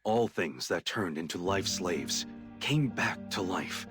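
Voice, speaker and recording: Deep, solemn fantasy narrator. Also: male voice, expressive, takes it slowly. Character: grave male storyteller of a fantasy war. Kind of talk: scripted game narration. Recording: narration under loud music.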